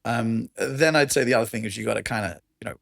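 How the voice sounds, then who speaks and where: A man with an Australian accent and a raspy voice, talking enthusiastically as a podcast guest. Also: medium pitch, fast, fairly bright tone, dry recording, studio quality. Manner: enthusiastic, conversational, laughs often. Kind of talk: casual chat.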